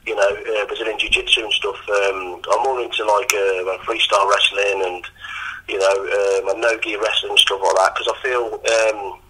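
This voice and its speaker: Croaky, husky coach. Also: male voice, lowish voice, level tone, moderate pace, pushed and bright, poor audio. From England. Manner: hesitant, full of ums and restarts. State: croaky after training. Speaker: MMA coach. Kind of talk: casual interview answers.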